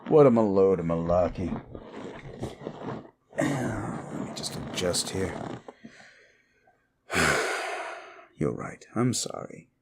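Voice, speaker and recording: An ancient, wicked demon, acted by a man, speaks straight to the listener in an intimate ASMR roleplay. The voice is very low and full of gravelly fry. Each phrase comes slowly and deliberately, brooding and theatrical, with contempt and a trace of inner conflict. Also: animated, background noise, full character voice. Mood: mocking, bitter, then weary, sighing. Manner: theatrical, brooding, slow and deliberate with dramatic pauses. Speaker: audio roleplay performer.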